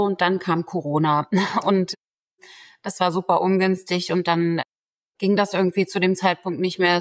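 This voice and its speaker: A young woman whose first language is Turkish, a lawyer answering interview questions in a relaxed, chatty way. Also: on the low side. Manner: relaxed, chatty, full of fillers like "genau". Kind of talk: casual conversation.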